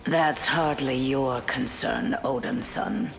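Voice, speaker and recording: An actress voicing a cold, imperious, power-hungry villainess with a haughty, menacing delivery for a video game. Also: female voice, extremely low pitch, takes it slowly, creaky, heavily acted. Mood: cold menace. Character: cold imperious villainess who covets a throne. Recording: long reverb, music behind.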